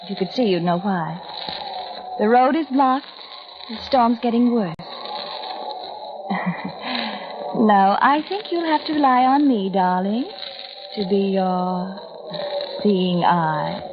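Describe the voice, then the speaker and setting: An actress playing a cruel woman who taunts with false sweetness, in old-time radio drama style. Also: mid-range voice. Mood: coolly taunting. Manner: mock-solicitous, taunting, sardonic, drawn-out endearments. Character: cruel, mockingly sweet woman tormenting her blinded rival. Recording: dull, very noisy old radio recording.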